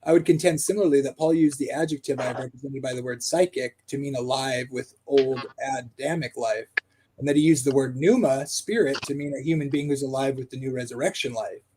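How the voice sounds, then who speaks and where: A man in casual discussion who reads passages aloud and thinks out loud, halting with fillers. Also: medium pitch, slight background noise, low-quality recording.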